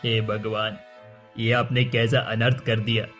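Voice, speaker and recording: Man playing a subdued, flat-toned grieving king. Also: on the low side, a little slow, soft background music. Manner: somber, subdued. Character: grieving, resigned adult king of a fairy tale. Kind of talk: cartoon moral-story acting.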